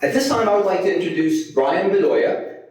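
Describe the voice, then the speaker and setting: A man leading a parish fundraising campaign, giving plain, measured opening remarks with a few fillers. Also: high voice, animated, darkish tone. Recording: distant mic in a very echoey hall.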